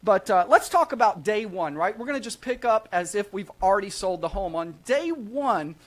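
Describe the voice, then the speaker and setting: A man's voice: high voice, lively intonation, moderate pace. A man hosting a live real estate show, chatting casually in an upbeat, salesman-like way and often checking in with "right?" tags. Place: small studio set at a desk.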